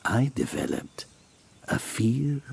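Slow, creaky, melancholy old man. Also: lowish voice, big pitch swings, exaggerated voice. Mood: confiding, frail. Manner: wistful, deliberate, formal. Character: melancholy old Italian man recounting eerie memories. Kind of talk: literary audio drama monologue.

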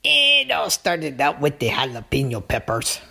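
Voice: mid-range voice, huge pitch swings, unhurried, creaky, bright, forceful tone, slightly rough, exaggerated voice. A performer voicing a small, wary talking lizard in a comedy skit, repeating words and speaking in uncontracted phrases. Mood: shifty, defensive. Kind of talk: comedy puppet-style dialogue.

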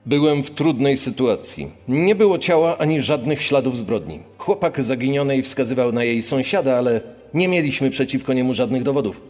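A man's voice: medium pitch, expressive, moderate pace, a little mellow, lightly acted. A sober detective played by a man gives a matter-of-fact account in a mid-range voice. Long reverb surrounds him and instrumental music plays behind, as in a true crime audio drama. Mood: sober, reflective. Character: sober male police detective recounting a case. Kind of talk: true crime podcast reenactment.

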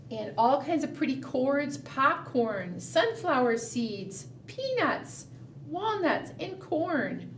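A middle-aged woman leading a preschool storytime, animated and sing-song, coaxing small children with questions. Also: high voice. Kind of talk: storytime read-aloud and chat addressed to small children. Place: small indoor room, seated at a table. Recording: roomy, distant mic, faint room noise.

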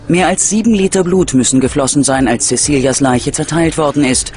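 A man narrating a scripted true-crime documentary in a dramatic, measured delivery. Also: on the high side, a little mellow. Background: background music.

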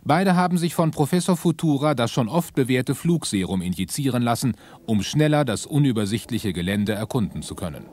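A man's voice: medium pitch, sing-song, fairly quick, hardly any pauses, a little mellow. A man narrating a science fiction radio play from a script.

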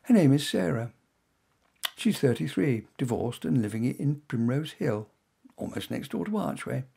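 A man reading his own short story aloud as first-person narration in a wry, expressive manner. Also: medium pitch, normal speed, gravelly, breathy edge.

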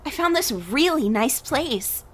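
Manga dub teen played by a woman: high-pitched, slightly rough husky voice, outgoing and nosy, secretly anxious. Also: expressive, measured pace, heavily acted. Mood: cheerful, nosy.

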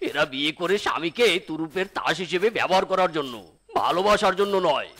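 Man voicing a bright, grumbling cartoon tiger uncle. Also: on the high side, animated, fast. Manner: grumbling, coaxing. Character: timid scheming male tiger uncle, grumbling. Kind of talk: animated cartoon dialogue. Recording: faint music behind the voice.

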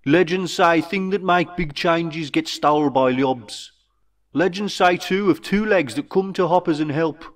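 Man putting on a slow, high-voiced old alien chief. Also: far from the mic, close to an everyday voice. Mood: solemn, rallying. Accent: Brummy (Birmingham). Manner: slow broken English in clipped phrases. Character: old wise alien chief, small orange hopping creature. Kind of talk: storybook character voice.

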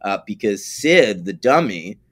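A lower-voiced man in relaxed podcast chat, swearing freely and dropping show trivia. He sounds easygoing, with a low voice and a plain conversational delivery. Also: a little slow. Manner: laid-back, profane, trivia-minded. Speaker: podcast co-host. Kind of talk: casual episode recap chat.

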